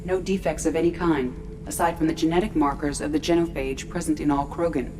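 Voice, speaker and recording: An actress voicing a calm artificial intelligence aboard a starship in a video game, clinical, precise and formal. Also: female voice, deep voice, fairly quick, lightly acted. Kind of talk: video game character acting. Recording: clear background noise, ship-like ambience.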